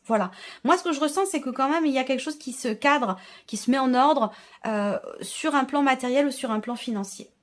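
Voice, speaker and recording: A woman's voice: high voice, a little fast. A woman giving an informal tarot reading to her viewers, reflecting and rephrasing as she interprets the cards. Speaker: tarot reader and channel host.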